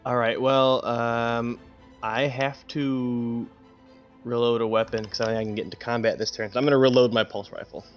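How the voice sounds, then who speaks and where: A man's voice: mid-range voice, level tone, normal speed. A man playing a tabletop game, thinking aloud hesitantly in casual table chat. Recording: slightly dull audio over music.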